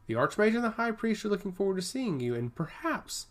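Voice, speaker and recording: A man voicing a genial old robed temple liaison with a booming, courteous, unhurried delivery. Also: big pitch swings, a bit bright.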